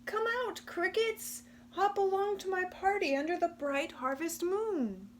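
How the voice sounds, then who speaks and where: A woman voicing an eager, hopeful male possum who calls out invitations in a high, excited voice. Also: a little slow, slight background noise. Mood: calling out. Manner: excited calling, pleading.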